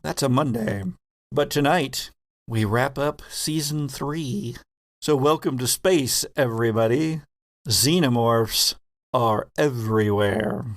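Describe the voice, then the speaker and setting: A man narrates as the host and game master of a tabletop game. He speaks slowly and deliberately, drawing out suspense with pauses. His pitch sits in the middle, and the sound is dry and close. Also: no echo.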